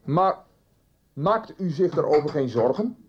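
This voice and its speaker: An actor playing a stuffy, persistent schoolmaster in a radio soap, formal and hesitant but insistent. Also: male voice, on the high side, animated, unhurried, highs cut off, crowd noise. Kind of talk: naturalistic 1990s Dutch radio soap acting.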